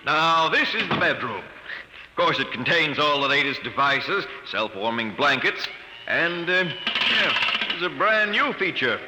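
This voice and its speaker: An actor playing an eager real estate agent in an old radio drama, with breezy sales patter. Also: male voice, a little high, animated, a little slow, over loud music. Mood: breezy, selling. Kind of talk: 1950s radio drama acting. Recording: noisy old radio recording, echoey hall.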